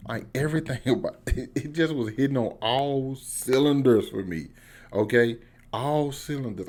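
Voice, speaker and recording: A man's voice: fairly low pitch, darkish tone. An American man giving a casual, unscripted movie review to viewers, enthusiastic and slangy, repeating phrases for emphasis.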